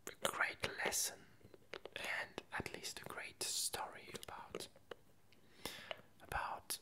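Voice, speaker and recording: A woman whispering a casual ASMR chat in a breathy, halting way with long pauses. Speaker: ASMR video creator.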